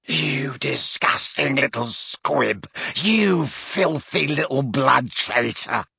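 A man voicing a sneering, bigoted father with a violent temper in a storybook, harsh, rasping and slowly threatening. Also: medium pitch, flat delivery, a bit bright, no room sound, clean studio sound. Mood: enraged, disgusted. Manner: slow, deadly, threatening.

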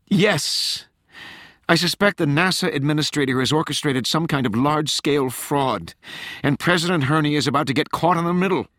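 A man's voice: a little high, normal speed, fairly bright tone, dry recording, clean studio sound, pitched above the performer's own voice, natural voice. A man voicing a desperate younger woman, an intelligence liaison, in an urgent, emphatic audiobook character voice. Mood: desperate, pleading.

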